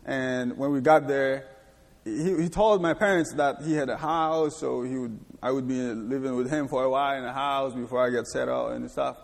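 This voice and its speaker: A man from Haiti, a guest in an interview, telling his life story in a halting way, with restarts and laughs. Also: medium pitch, creaky. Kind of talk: personal testimony in a church interview. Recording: reverberant hall.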